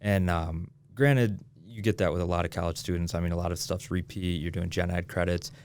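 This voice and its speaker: Fast-talking young man from Michigan. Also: sits low, steady tone, darkish tone, clear, resonant tone. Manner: laid-back, swears casually. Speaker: guest real estate agent. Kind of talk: casual interview chat.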